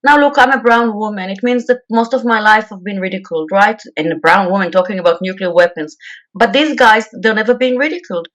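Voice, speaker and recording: A woman's voice: mid-range voice, a little fast, a bit bright. A woman from Israel with an Israeli accent, a peace activist guest speaking bluntly and emphatically in an interview. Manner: blunt and emphatic, repeats words. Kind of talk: interview talk.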